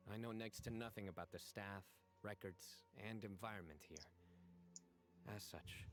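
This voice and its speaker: An actor playing a stern, composed young general in a video game, speaking in a measured, formal and deliberate way. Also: male voice, on the low side, close to an everyday voice. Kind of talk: scripted video game dialogue.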